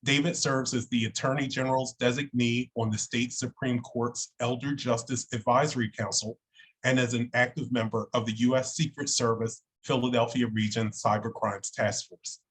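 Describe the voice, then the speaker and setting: A man's voice: mid-range voice, little pitch movement, normal speed, a bit bright, breathy edge. A man hosting a town hall, thanking one speaker and reading the next speaker's introduction courteously. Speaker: host and moderator.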